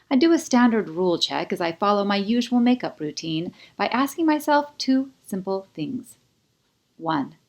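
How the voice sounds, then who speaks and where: Female audiobook narrator, lower-pitched, first-person fiction read with expressive, confiding delivery. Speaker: author narrating own audiobook.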